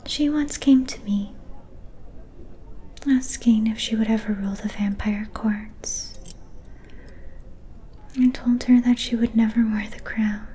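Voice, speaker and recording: A woman performs a mysterious vampire seeress in a whispered ASMR fantasy roleplay. Her breathy whisper is dark and soft, with slow, deliberate phrasing that stays even and ominous. Faint noise sits behind the voice. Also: on the high side. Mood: knowing, quietly scornful.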